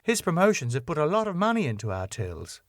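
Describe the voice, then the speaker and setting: A man's voice: on the high side, huge pitch swings, fairly quick, dry recording. A man voicing a dry, sceptical, sardonic wife of a club owner in a sitcom script reading. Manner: sardonic, questioning.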